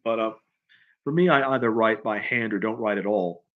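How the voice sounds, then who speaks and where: A man chatting casually on a livestream as a writer answering questions, in a halting, rambling way, often repeating and restarting his phrases. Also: lowish voice, narrow, tinny audio. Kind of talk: casual unscripted chat, answering viewer questions.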